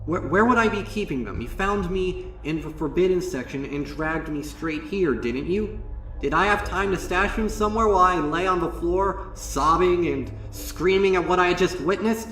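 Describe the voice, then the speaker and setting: A man's voice: high voice, moderate pace, pushed and bright, voice pushed up. A man voicing a traumatized young female unicorn student in a horror story, stammering, pleading and bursting out in bitter indignation. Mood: defensive, frantic. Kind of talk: dramatic first-person horror monologue. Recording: roomy, distant voice over music.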